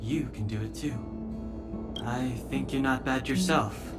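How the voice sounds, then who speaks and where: A man voicing a calm, reserved, serious young space traveller in a video game cutscene, measured and detached. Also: mid-range voice, loud music behind the voice, natural voice. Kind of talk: animated game cutscene dialogue.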